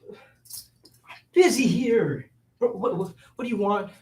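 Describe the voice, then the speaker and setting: A man's voice: sits high, a little slow, darkish tone. A man acting a flustered, evasive young womanizer with a stammering, exasperated delivery in a staged play reading. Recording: roomy echo, distant mic.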